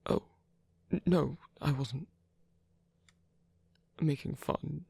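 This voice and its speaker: A man playing a weary, soft-spoken rebel villain and lover in an intimate ASMR roleplay, speaking haltingly in a breathy near-whisper. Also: a little high, fairly even intonation, dry recording, exaggerated voice. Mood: tender, teasing. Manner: hesitant, halting, murmured with pauses.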